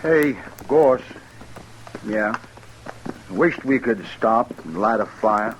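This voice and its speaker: Slow-drawling frontier outlaw, noisy old radio. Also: male voice, medium pitch, lively intonation. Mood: bickering. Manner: drawling rustic frontier speech. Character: two outlaws on the run, bickering, uneasy frontier men. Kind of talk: old-time radio western acting. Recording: noisy vintage radio recording.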